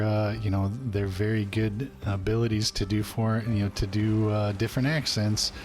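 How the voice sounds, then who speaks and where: A man streaming a western roleplay game, talking in a folksy, chatty way and joking about himself with viewers. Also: fairly low pitch. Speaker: streamer playing an old cowboy character.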